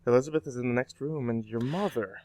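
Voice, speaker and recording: A man's voice: medium pitch, fast, a little mellow, lightly acted. A man acting a kindly, avuncular older professor in an old-time radio drama style. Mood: reassuring, hushed. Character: kindly, fatherly older male professor, soothing mentor.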